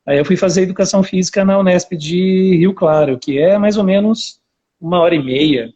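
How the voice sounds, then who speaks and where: Guy with interior são paulo accent, kinda raspy, mellow dark tone, casual live interview chat. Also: sits high, normal speed. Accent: interior São Paulo Brazilian Portuguese. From interior São Paulo, Brazil. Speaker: academic researcher, interview guest. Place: remote live video call.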